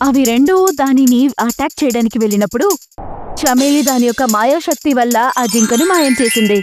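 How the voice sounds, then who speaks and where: A woman narrates a scripted story for animation. Her voice sits fairly high, and music plays clearly underneath her narration. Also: brisk.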